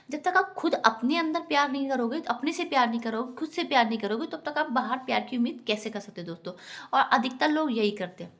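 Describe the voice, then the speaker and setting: A woman giving an earnest, instructive motivational talk directly to her viewers. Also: fairly high pitch. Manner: earnest, instructive, often addresses listeners as friends. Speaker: self-help vlogger.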